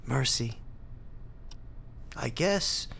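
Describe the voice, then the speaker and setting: An actor in a radio drama playing a grieving, bitter young hospital volunteer, tough but tender, speaking bluntly and haltingly. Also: male voice, mid-range voice, expressive, gravelly, fairly bright tone, breathy edge, full character voice. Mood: subdued, reflective. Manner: halting, emotional, blunt street talk.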